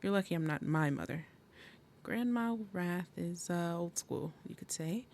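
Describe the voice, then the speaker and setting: A woman playing a fierce, protective demon mother and princess of wrath in an ASMR roleplay, with dramatic, breathy, menacing delivery. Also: lowish voice, measured pace, darkish tone, a little hiss or hum. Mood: grudgingly warm.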